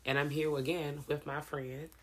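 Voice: medium pitch, level tone, moderate pace, low-quality recording. A podcast host chatting casually about horror films, laid-back and swearing freely.

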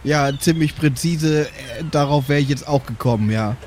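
A man's voice: on the high side, normal speed, darkish tone, slight background noise, close to an everyday voice. A man playing Bransen, a boastful, jokey brother in an improvised tabletop role-play, chatting cheerfully. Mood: hearty.

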